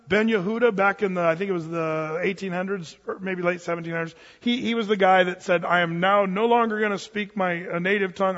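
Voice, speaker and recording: A man's voice: sits high, fairly bright tone. A man giving an earnest, rambling talk on Bible prophecy, with many fillers and restarts. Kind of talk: informal preaching talk. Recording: dull lo-fi sound with long hall reverb.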